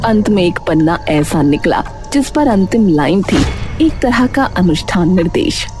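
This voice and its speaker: Lively, lowish-voiced woman story narrator. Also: a little slow, faint music behind. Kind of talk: dramatic scripted story narration.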